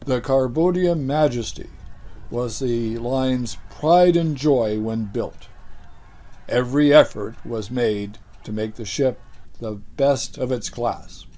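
An older man delivering a deadpan mock news report as a comedy anchor, in a dry, unhurried newsreader manner. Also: mid-range voice. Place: studio set with green-screen backdrop.

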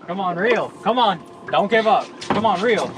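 Eager male angler, lively slightly high voice, loud boat noise on action-cam audio. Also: moderate pace. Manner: eager, urges himself on while reeling. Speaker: angler, fishing partner. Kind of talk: casual chat while fishing. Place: small boat offshore, outdoors. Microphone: action camera on the boat.

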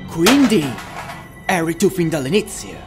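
A woman's voice: lowish voice, sing-song, unhurried, darkish tone, faint music behind. A woman voicing an indignant, confrontational animatronic bear hero in a cartoon parody dub. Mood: suspicious. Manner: confrontational, exclamatory.

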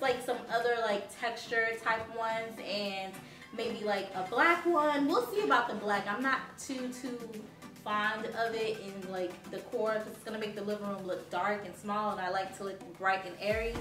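A woman's voice: fairly high pitch, fairly bright tone. A young woman from Haiti chatting casually to her viewers as she shows off home decor, with a bubbly manner and lots of "like"s. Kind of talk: casual haul video. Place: living room, seated on a sofa. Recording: roomy sound from a distant mic.

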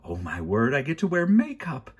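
A man voicing an excitable, dreamy, kindly woman judge in a gushing storybook manner. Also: mid-range voice, normal speed, husky, muffled recording, pitched above the performer's own voice. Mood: thrilled.

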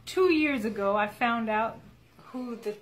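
A woman's voice: a little high, a little slow, a little hiss or hum, low-quality recording. A woman in her forties from Egypt, a guest telling her story in casual chat, earnest, with frequent restarts. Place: small indoor room with a wall chart.

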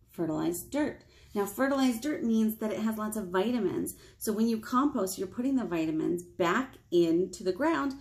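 A woman's voice: mid-range voice, darkish tone. A woman in her thirties, a children's librarian leading storytime, speaking directly to preschoolers with questions and simple explanations. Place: small indoor room at a library.

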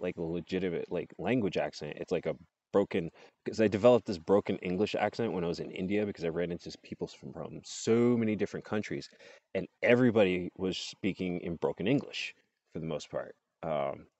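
Man, 40s, very low voice, chatty laughing vlogger, earbud mic, muffled dry sound. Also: a little mellow, dry recording. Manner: laughs often, loose and chatty. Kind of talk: casual rambling monologue. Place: outdoors under trees. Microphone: earbud headset mic, phone close up.

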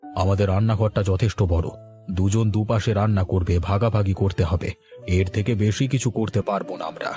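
A man voicing a sorrowful, forgiving older father-in-law in a cartoon, measured and resigned. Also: low voice, steady tone, dark tone, soft background music, close to an everyday voice. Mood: resigned, caring. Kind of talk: Bengali cartoon voice acting.

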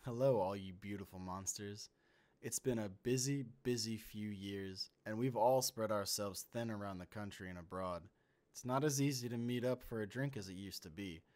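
A man playing a genial, persuasive friend reading out his written invitation in a measured way, for an amateur comic dub. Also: on the low side, darkish tone, lightly acted. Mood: affable, earnest.

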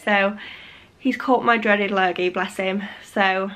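A young woman in her twenties, slightly husky as she gets over a cold, chatting to camera in her living room with lots of fillers. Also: medium pitch, steady tone, slow pace, a bit bright. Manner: rambling, many fillers and restarts. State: recovering from a cold. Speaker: vlogger. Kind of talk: casual chat to camera. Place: living room at home, indoors. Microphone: camera on a tripod.

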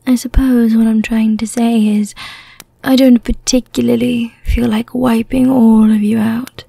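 A woman voicing a teasing, menacing yet merciful female vampire in an ASMR roleplay, in a whispery, deliberate way. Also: on the high side, flat delivery, warm tone, no room sound, voice pushed down, heavily acted. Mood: calm, merciful. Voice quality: breathy, whispery.

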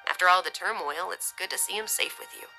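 A woman voicing a modest, kindly man in an audiobook, quietly supportive. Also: fairly low pitch, fairly quick, vocal fry, faint music behind, exaggerated voice. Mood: gentle.

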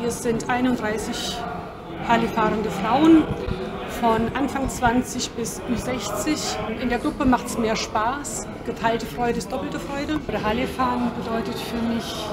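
A woman's voice: on the high side, heavy background noise. A middle-aged woman, founder of a riders club, speaking eagerly in an interview. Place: dealership showroom indoors.

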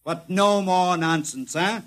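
An actor playing an impatient bureau chief, curt and condescending, in 1950s radio drama style. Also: male voice, high voice, slow, a bit bright.

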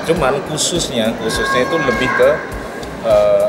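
A man in his forties answering interview questions at an expo booth, halting and full of fillers. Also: mid-range voice, distant mic, over loud music, rough recording. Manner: hesitant, many fillers and restarts. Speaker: film company representative. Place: busy indoor expo hall, at a booth. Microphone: handheld reporter mics held close.

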